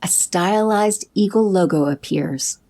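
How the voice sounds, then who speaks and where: A woman giving steady scripted narration for an audio drama. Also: mid-range voice, measured pace. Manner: steady, descriptive.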